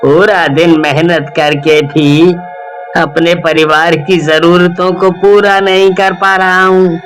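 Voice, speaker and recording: Man playing a slow-talking weary village husband. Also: high voice, flat delivery, a bit bright, soft background music. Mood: weary, resolved. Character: poor, weary village husband, dismissive yet reassuring. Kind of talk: Hindi cartoon story voice acting.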